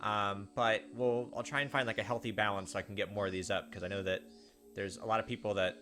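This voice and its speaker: A man's voice: on the low side, steady tone, quick pace, sharp tone, faint music behind. A man hosting a let's play, chatting casually and reading the game's story text aloud.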